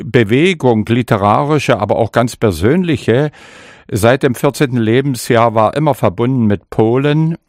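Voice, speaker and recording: An older man, a poet whose first language is Sorbian, answering interview questions in a slow, reflective way with small hesitations. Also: mid-range voice, darkish tone, dry recording, clean studio sound. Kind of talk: interview answers and author reading.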